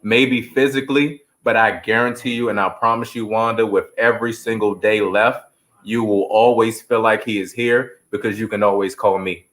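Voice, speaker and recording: A man's voice: on the low side, fairly even intonation, moderate pace, fairly bright tone. A man giving heartfelt interview answers as a stage actor, telling stories earnestly and quoting scripture like a preacher.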